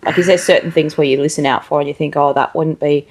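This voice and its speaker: A woman's voice: sits low, fairly even intonation, fast talker, a little mellow. A woman hosting a casual interview, asking informal questions built around examples. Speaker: interviewer, show host.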